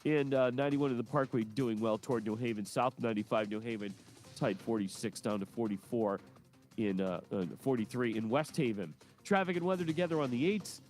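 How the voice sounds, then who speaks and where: Stuffy-nosed man reading fast traffic. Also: mid-range voice, darkish tone, soft background music. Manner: brisk, clipped delivery. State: stuffed-up nose. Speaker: traffic reporter. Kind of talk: rapid traffic report.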